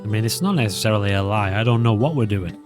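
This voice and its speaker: Low-voiced man doing casual game commentary. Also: lively intonation, fast talker, music in the background. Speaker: gaming channel host. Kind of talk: casual let's play commentary.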